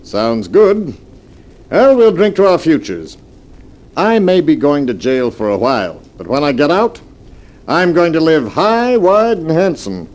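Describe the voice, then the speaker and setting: High-pitched, cocky, jovial crook. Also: male voice, sing-song, a little slow, a little mellow, low-quality recording. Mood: boisterous, celebratory. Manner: jovial, swaggering, relishing. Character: cocky, carefree, hearty male crook eager to celebrate. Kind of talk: old-time radio drama acting.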